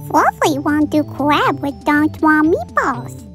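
Tiny squeaky baby-talking fluffy pony. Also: female voice, big pitch swings, measured pace, soft background music. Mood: cheerful. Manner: baby talk in broken grammar. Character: childlike fluffy pony creature, naive, excitable, babyish. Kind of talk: cartoon comic dub.

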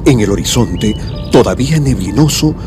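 A man's voice: mid-range voice, lively intonation, normal speed. A man giving scripted dramatic narration for a mystery radio play. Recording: music bed behind the voice.

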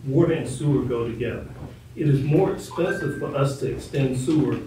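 A man speaking as a city official in a formal public meeting, diplomatic and measured, in a council chamber. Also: mid-range voice, dark tone. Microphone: distant room microphone. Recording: echoey meeting room, distant mic, faint noise.

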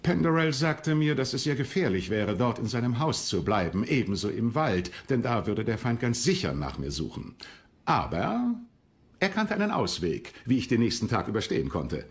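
Regal monarch, formal theatrical phrasing, lively intonation, medium pitch, docudrama. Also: male voice, normal speed. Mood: storytelling, self-satisfied. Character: regal English king, self-assured storyteller, 17th-century monarch. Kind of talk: German historical docudrama acting.

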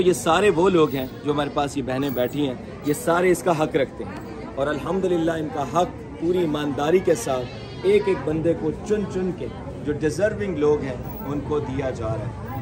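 A man in his thirties from Pakistan addressing viewers outdoors as a charity vlogger, earnest and devout, full of prayers and blessings while gesturing with open hands. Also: a little high. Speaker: charity vlogger handing out groceries. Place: open courtyard outdoors among seated families. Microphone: camera a few feet away, waist-up framing. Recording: outdoor crowd murmur behind. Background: crowd of people.

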